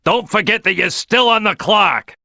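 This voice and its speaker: An actor playing a big, brash crocodile detective in a video game, a gruff, gravelly team leader who is loud and bossy. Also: male voice, high-pitched, expressive, normal speed, dry recording, studio quality, exaggerated voice. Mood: bossy, assured. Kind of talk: video game character acting.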